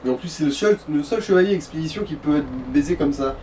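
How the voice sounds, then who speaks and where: A man's voice: a little high, quick pace, a little mellow, distant mic, slight background noise, rough recording. A man giving casual, explanatory advice on a video game. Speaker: co-commentator advising the player. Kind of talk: casual gaming commentary.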